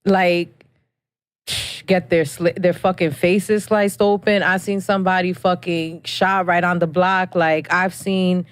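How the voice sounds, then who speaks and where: A woman's voice: lowish voice, flat delivery, takes it slowly, smooth, dry recording, studio quality. A woman from New Jersey, co-hosting a podcast, talking in a blunt, profane, emphatic way. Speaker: podcast co-host. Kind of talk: casual podcast chat.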